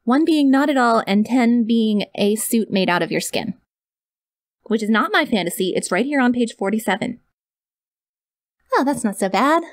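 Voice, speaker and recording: A woman's voice: a little high, animated, moderate pace, pauses often, no echo, natural voice. A woman playing a sweet, awkward, clingy young yandere kidnapper in an ASMR audio roleplay, rambling and gushing straight to the listener. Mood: nervous, coaxing.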